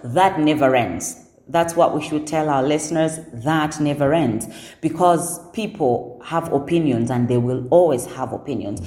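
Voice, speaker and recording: A woman with a deep voice, talking assertively in a casual podcast conversation, repeating words for emphasis. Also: measured pace, mellow, reverberant. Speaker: guest.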